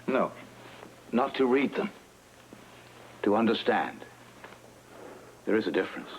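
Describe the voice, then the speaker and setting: An actor playing an enigmatic doctor who speaks slowly and softly in a measured way. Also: male voice, on the low side, sing-song, a little hiss or hum, poor audio. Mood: calm. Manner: slow, measured, soft. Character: calm, enigmatic male doctor. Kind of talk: 1980s TV soap acting.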